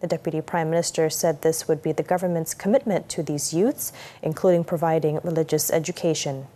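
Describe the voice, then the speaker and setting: A woman news anchor with a Malaysian accent reads from a script. Her voice sits a little low. The delivery is formal and measured, as in a television bulletin. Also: fairly even intonation, warm tone. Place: TV news studio.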